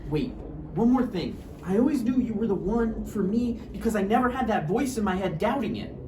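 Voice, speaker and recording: A man's voice: high-pitched, expressive, brisk. A man playing an anxious, insecure, needy boyfriend in a naturalistic play table read, hesitant and pleading. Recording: echoey room, distant mic, faint noise.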